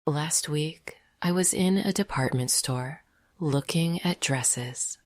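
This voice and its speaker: A woman leading a guided meditation in a soft, half-whispered, gentle and soothing voice. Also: low-pitched, slow, dry recording, studio quality.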